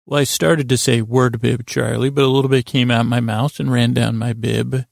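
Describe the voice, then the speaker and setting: A man playing a bumbling, earnest talk-show guest who makes a flustered, insistent sales pitch in a gravelly voice. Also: flat delivery, moderate pace, dry recording, studio quality, natural voice.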